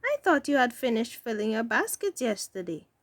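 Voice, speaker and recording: A woman voicing a kindly, principled aunt in a storybook, gently and pointedly correcting in a measured way. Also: fairly high pitch, sing-song, no room sound, studio quality.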